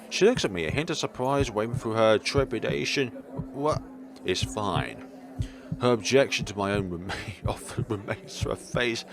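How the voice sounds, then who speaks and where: A man reading visual novel narration aloud as a let's player, theatrical but stumbling over words and breaking into jokey asides. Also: fairly low pitch. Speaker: video game let's player. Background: game music.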